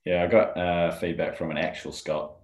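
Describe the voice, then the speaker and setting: A man's voice: deep voice, level tone, moderate pace, reverberant. A man co-hosting a podcast as the golf rules expert, explaining rulings step by step. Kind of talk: casual chat.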